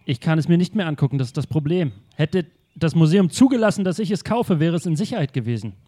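A man's voice: a little high, expressive, quick pace, mellow. A man voicing a rich, eccentric male art collector who talks in an indignant, self-assured way.

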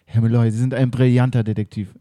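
A man's voice: medium pitch, little pitch movement, fast, warm tone, no room sound, studio quality, natural voice. A man playing a fawning, rambling museum curator who stammers in an improvised comedy radio play. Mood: flustered. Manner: flustered, stammering, starstruck.

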